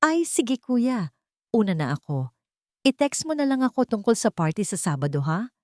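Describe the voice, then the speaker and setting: A woman's voice: a little high, sing-song, normal speed, dry recording, clean studio sound, pitched above the performer's own voice. A woman with a Filipino accent voicing a scheming younger woman in an audio story, pointed and insinuating under feigned sweet concern. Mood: falsely casual.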